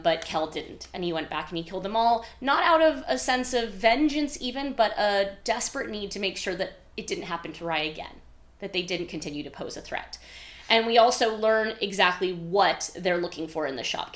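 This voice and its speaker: Echoey, lower-voiced woman recapping a story. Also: a bit bright. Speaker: host of a book readalong video, author. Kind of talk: casual chatty story recap to camera. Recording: roomy, echoey indoor sound.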